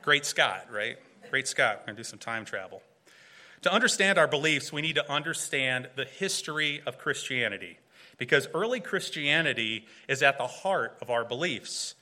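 A man with a bright, clear voice delivers a sermon to a congregation. He explains earnestly, with some filler and restarts, and he often poses rhetorical questions. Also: mid-range voice, lively intonation, moderate pace. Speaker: pastor.

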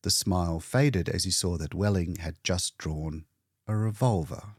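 A man reading literary fiction as an audiobook narrator, in a measured, deliberate and solemn storytelling manner. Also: low-pitched, breathy edge, no room sound, studio quality.

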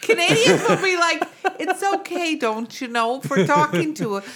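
A woman's voice: high voice, sing-song, moderate pace, a bit bright. A woman co-hosting a podcast with casual banter, blunt, irreverent and sarcastic. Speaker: podcast co-host.

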